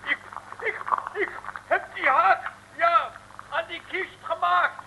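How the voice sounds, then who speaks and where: High-pitched, excitable sidekick. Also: male voice, slow. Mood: eager. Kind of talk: 1950s comic radio serial acting. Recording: old noisy radio recording.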